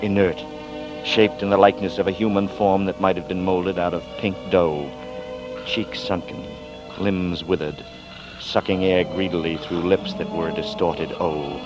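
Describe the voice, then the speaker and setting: A man's voice: low-pitched, steady tone, normal speed, lightly acted. A man playing a desperate hunted young man. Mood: grim. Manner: tense and urgent, hushed then rising. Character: desperate young man falsely accused of murder. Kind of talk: 1940s radio acting with first-person narration. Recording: dull old radio broadcast with music.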